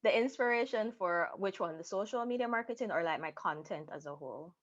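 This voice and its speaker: A woman's voice: medium pitch, animated, fairly quick, muffled recording. A young adult woman telling her personal story candidly as an interview guest. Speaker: interview guest, content creator.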